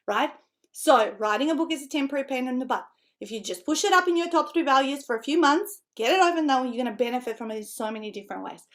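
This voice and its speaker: This middle-aged woman speaks with a Macedonian-tinged Australian accent over an English she learned in her teens. Her voice sits high, and she talks with eager, chatty energy, often checking in with her listeners as she coaches them on writing a book. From North Macedonia. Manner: energetic, chatty, frequent tag questions and laughs. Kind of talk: online seminar presentation.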